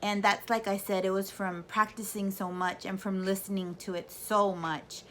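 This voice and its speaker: A woman in her thirties chatting casually to her camera, answering viewer questions with lots of fillers, restarts and animated asides. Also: mid-range voice, normal speed, a little hiss or hum. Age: 30s. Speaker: YouTube vlogger.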